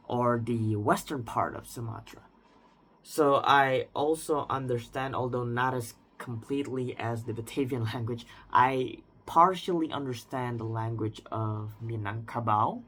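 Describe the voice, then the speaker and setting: A man's voice: medium pitch, a bit bright. A man in his twenties with an Indonesian accent, speaking unscripted in a reflective personal monologue, often restarting and hedging. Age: 20s. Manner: reflective, with frequent restarts and hedges like "I would say". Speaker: vlogger.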